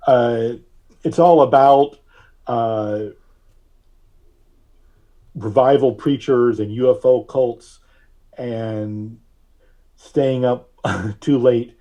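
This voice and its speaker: A man speaking as a podcast guest in a conversational interview, thoughtful and often halting as he searches for words. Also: medium pitch, steady tone, mellow, muffled recording. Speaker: podcast guest, artist and reverend.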